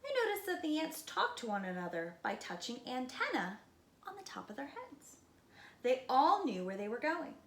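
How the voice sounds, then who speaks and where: A woman preschool teacher reading a picture book aloud expressively, with dramatic slowed emphasis and hushed moments. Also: high-pitched, sing-song. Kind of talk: expressive children's read-aloud. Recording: roomy echo, light background noise.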